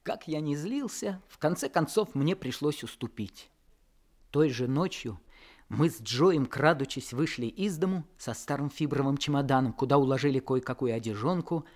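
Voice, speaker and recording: A man's voice: mid-range voice, lively intonation, normal speed, lightly acted. An actor playing a teenage boy who narrates his own story in an old radio play. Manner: earnest storytelling. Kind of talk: 1960s Soviet radio drama acting.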